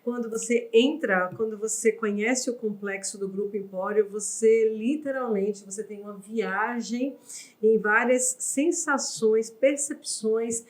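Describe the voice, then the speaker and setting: Woman interviewer, effusive and exclamatory, slow and high-pitched delivery. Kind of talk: enthusiastic interview hosting.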